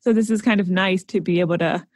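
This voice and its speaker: A woman's voice: fairly low pitch, steady tone, fast talker, dry recording, studio quality. A woman co-hosting a podcast, asking encouraging questions in casual chat. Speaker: podcast co-host.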